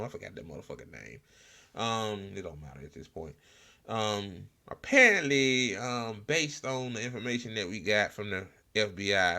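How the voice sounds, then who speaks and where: A man hosting an informal political talk show, speaking in a blunt, sarcastic, profanity-heavy way with halting repetition. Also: on the low side, pushed and bright. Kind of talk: informal political rant with commentary.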